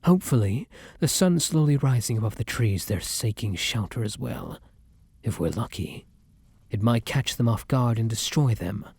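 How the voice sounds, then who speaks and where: Man as a very breathy, tender vampire lover. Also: medium pitch, lively intonation, normal speed, no room sound. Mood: wary, soothing. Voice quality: breathy, often dropping into whispers. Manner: gentle, courtly, earnest endearments. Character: tender, weary male vampire lover on the run. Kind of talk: intimate ASMR romance roleplay.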